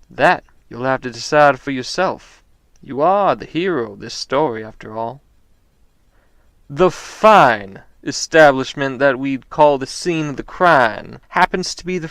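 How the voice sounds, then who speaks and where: Man acting a smooth-voiced, world-weary noir street informant. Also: fairly high pitch, a bit bright, no echo. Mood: sly, inviting. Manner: theatrical, knowing, wordy noir storytelling. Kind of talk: scripted noir audio drama monologue.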